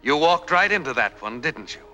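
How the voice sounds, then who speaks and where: An actor playing a knowing, taunting narrator in a 1940s mystery film, with a sardonic, insinuating manner. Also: male voice, a little high, sing-song, moderate pace, bright, forceful tone. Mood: sly, ominous. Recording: 1940s film soundtrack with music.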